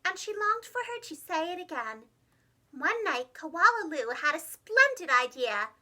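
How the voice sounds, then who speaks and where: A woman reading a children's storybook aloud like a preschool teacher, expressive and dramatic, speaking carefully for young listeners. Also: very high voice, unhurried. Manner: animated, dramatic, gentle enunciation for young children.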